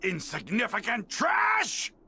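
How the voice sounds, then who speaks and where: Screaming, high, furious villain. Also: male voice, wildly swooping pitch, takes it slowly, pushed and bright, shouting, a little hiss or hum, heavily acted. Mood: furious contempt. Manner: furious, contemptuous. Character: enraged arrogant villain, screaming threats. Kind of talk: anime video game voice acting.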